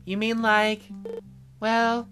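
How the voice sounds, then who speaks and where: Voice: mid-range voice, completely flat delivery, over music. A performer voicing an awkward, hesitant young woman in a visual novel, speaking tentatively. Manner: halting, tentative. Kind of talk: visual novel character reading.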